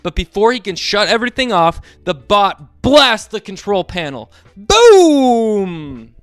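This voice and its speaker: Lively dad reading a story to kids. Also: male voice, high voice, slow, smooth, dry recording, soft background music. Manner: animated and playful, asks the kids to join in. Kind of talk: children's read-aloud.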